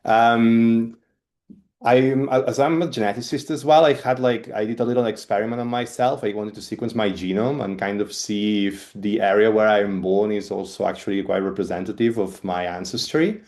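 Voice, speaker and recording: Spanish-accented guy giving a webinar talk over a call, lowish voice, lots of ums. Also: steady tone, moderate pace, a little mellow. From Spain, Catalonia. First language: Catalan. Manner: hesitant, with frequent ums and restarts. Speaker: guest speaker, evolutionary biologist. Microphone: video call.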